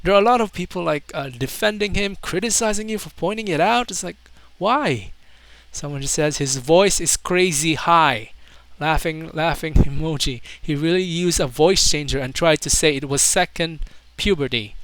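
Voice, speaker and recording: Guy with a kinda high clear voice reading comments, amused and mocking, dry close sound. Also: normal speed, fairly bright tone, no echo. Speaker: video commentator.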